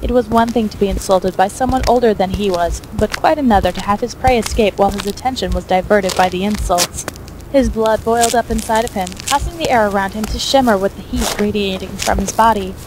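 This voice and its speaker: Female narrator for scripted fiction, medium pitch, amateur recording with slight noise. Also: fairly quick.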